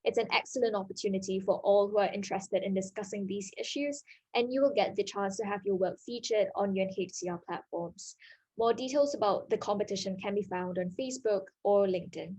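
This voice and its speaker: A woman hosts an online panel at a middling pitch. She reads the announcements and introduces each speaker politely and formally. Her delivery is steady and scripted. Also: fairly quick, slightly rough, poor audio. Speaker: panel moderator. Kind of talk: scripted event moderation.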